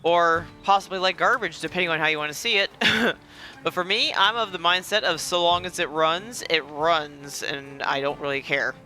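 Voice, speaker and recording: Bright-voiced rambling gamer guy. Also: on the high side, normal speed. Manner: rambling, self-deprecating. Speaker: gaming video host. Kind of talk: casual gameplay commentary. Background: faint game music.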